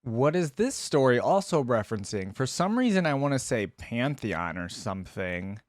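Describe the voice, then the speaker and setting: A man's voice: mid-range voice, big pitch swings, normal speed, dry recording, clean studio sound. A man giving casual reaction commentary as a video host, musing aloud and asking questions as he goes.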